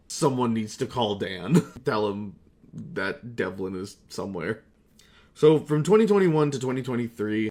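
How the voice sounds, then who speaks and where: A young man with a medium-pitched voice rambles through a casual vlog. He swears freely and talks about himself in a self-deprecating way, like a creator looking back informally. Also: a little mellow. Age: young adult. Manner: foul-mouthed, self-deprecating. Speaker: video creator.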